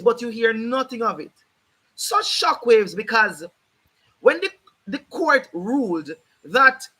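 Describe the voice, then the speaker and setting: A hoarse man hosting a livestream, explaining a court ruling in an emphatic, repetitive, lecturing way while addressing his viewers directly. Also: very high-pitched, pushed and bright, poor audio. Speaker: host of a legal rights livestream. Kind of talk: livestreamed legal commentary.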